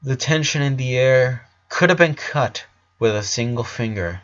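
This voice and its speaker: A man narrates a dramatic story at a slow, deliberate pace with a medium-pitched voice. The recording is dull and lo-fi. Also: fairly bright tone. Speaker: narrator reading fan fiction.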